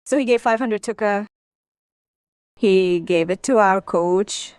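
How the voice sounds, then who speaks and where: A woman from Bangladesh telling her life story as an interview guest, in an animated, emphatic manner. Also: medium pitch, slow, smooth, no echo. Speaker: interview guest, former sprinter.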